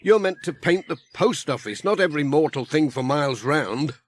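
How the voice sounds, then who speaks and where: A man's voice: on the high side, animated, normal speed, lightly acted. A man voicing a cheerful, easygoing village postman with a dry wit and chatty, folksy talk in a children's audiobook. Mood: wry.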